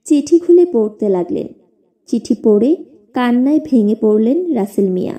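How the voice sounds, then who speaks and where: A woman's voice: on the high side, lively intonation, normal speed, dark tone. A woman narrating a scripted moral tale for a cartoon, as the storyteller.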